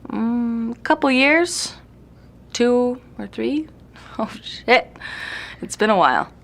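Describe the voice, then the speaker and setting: A woman's voice: fairly high pitch, lively intonation, slow pace, creaky, a bit bright, heavily acted. A woman playing a jaded, self-deprecating night-owl composer in an audio drama, rambling bluntly with sardonic, slangy swearing. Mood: wry, relaxed. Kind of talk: naturalistic audio drama interview acting. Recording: noisy room recording.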